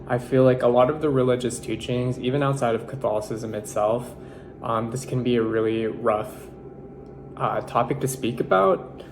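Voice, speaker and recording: A young man from the Philippines whose first language is Tagalog, giving an earnest, measured personal reflection as a nursing student, pausing with fillers and correcting himself, over a faint background hum. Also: medium pitch.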